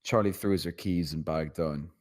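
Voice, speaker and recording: A man's voice: low voice, normal speed, darkish tone. A man narrating a screenplay, reading out the scene directions.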